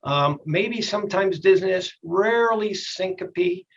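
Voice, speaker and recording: A middle-aged or older man with a slightly husky voice, a doctor explaining things in a plainspoken, folksy way in a TV health interview. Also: high-pitched, unhurried, a bit bright, lo-fi sound, slight background noise. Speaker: doctor, expert guest.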